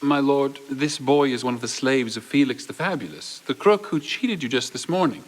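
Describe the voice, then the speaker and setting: A man playing a gruff, bullying Roman soldier in an audio drama, curt and menacing. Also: mid-range voice, lively intonation, normal speed, lightly acted. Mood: formal, reporting.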